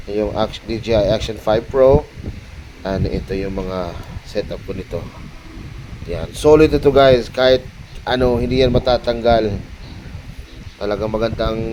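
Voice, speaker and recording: A Filipino man giving a casual, halting vlog-style product show-and-tell, mixing Tagalog and English. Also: medium pitch, background noise. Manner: halting, code-switching between Tagalog and English. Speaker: motovlogger.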